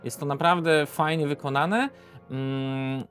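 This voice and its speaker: A Polish man with a slightly high-pitched voice talks through a video review for viewers. His delivery is unscripted and informal, with hesitant pauses and frequent self-corrections. Music plays behind him. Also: fairly even intonation, fairly bright tone. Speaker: board game reviewer presenting to viewers.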